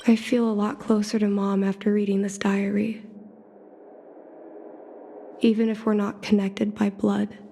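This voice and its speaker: An actress playing an earnest, reflective young daughter who dreams of flying, in a video game. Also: female voice, mid-range voice, flat delivery, a little slow, creaky, pauses often, dark tone, a little hiss or hum. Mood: shaken, tender. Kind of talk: video game character voice acting. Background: music.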